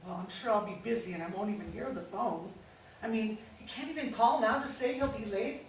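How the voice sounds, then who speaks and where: A woman's voice: medium pitch, a little fast, close to an everyday voice. An actress playing a fussing, fretful middle-aged mother and hostess in a staged play reading, scolding her family and polite to guests. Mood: exasperated. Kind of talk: staged reading, naturalistic dialogue. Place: indoor staged reading, recorded from afar. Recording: echoey room, distant mic, faint noise.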